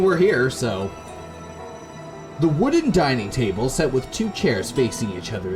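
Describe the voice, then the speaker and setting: A man reads horror game narration aloud over clear atmospheric music. His voice is lowish and moves slowly, with lively swings in pitch and casual asides between the dramatic passages. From Canada. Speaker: gamer reading a visual novel aloud.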